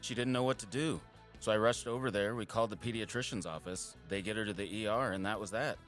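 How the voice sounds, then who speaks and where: Actor doing friendly polite dad guy, lowish voice, natural drama dialogue, faint music behind. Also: fast talker, a bit bright, close to an everyday voice. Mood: apologetic, explaining. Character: courteous, apologetic divorced father, friendly adult man.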